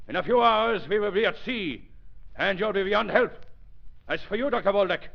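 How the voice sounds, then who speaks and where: A man's voice: sits high, vocal fry. A man playing an imperious, threatening spy chief who barks curt orders in 1930s radio drama style. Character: imperious villainous spy chief aboard a ship. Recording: muffled, noisy 1930s radio recording.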